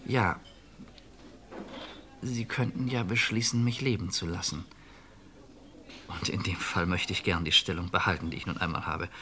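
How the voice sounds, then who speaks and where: Bright-voiced, courteous, doomed murderer. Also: male voice, sits low, steady tone, a little hiss or hum. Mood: polite, matter-of-fact. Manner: polite, composed, ironic, measured formal speech. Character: courteous, gallows-humoured murderer pleading calmly for his life. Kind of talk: German radio crime play acting.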